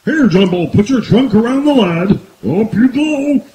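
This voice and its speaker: Man playing a high-pitched, excitable animal keeper. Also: a little slow. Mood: matter-of-fact. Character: excitable male elephant-ride keeper. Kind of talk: old-time radio acting. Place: outdoor stage in bamboo woods. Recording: roomy echo, crowd noise.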